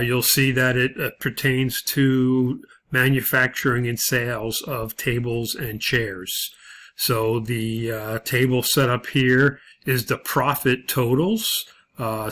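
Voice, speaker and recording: A man instructing through an unscripted spreadsheet walkthrough, explaining things in a halting, matter-of-fact way. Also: medium pitch, a bit bright.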